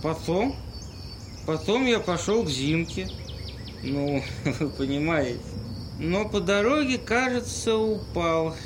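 Homeless drunk, played by a male amateur: mock-grand, shameless, unhurried, audio-play style, clearly noisy outdoor backdrop with insects. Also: high voice, expressive. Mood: boastful, rambling. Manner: mock-grand, rambling tipsy delivery with halting words. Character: drunken homeless man, pompous, cheerfully shameless drinker.

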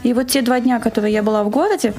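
A woman's voice: fairly high pitch, very fast, warm tone, faint music behind. A woman from Krasnoyarsk in Russia, speaking as a studio guest and telling her story conversationally in an interview. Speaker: studio guest, event organizer. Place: radio studio.

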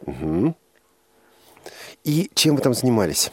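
A male radio host doing a live interview. His delivery is animated, with wide swings in pitch, and he nudges the guest along encouragingly. The sound is close and dry. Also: slow pace, breathy edge, dry recording. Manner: encouraging, prompting with short questions.

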